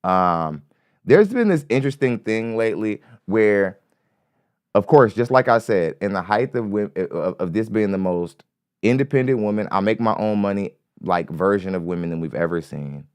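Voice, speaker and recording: A man's voice: lowish voice, lively intonation, normal speed, clear, resonant tone, no echo, clean studio sound. Light-voiced man hosting a podcast. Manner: rambling, repeats himself, curses freely. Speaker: podcast host. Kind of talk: casual commentary on a clip. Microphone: studio mic.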